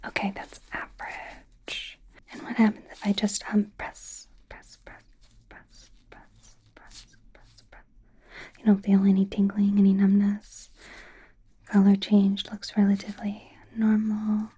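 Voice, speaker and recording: Casting: woman as female werewolf doctor for ASMR roleplay; soft mellow whisper, awkward, curious, apologetic, unhurried. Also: medium pitch, monotone, a little hiss or hum, natural voice. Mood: gently clinical. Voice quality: whispered, breathy. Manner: hesitant, halting, softly reassuring. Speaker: ASMR performer.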